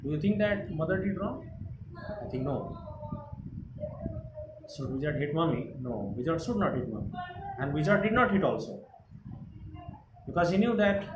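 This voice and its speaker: Indian English male teacher, slightly high voice, earnest and halting, echoey room with noise. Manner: earnest, repetitive, with frequent hesitations. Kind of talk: explaining a textbook chapter.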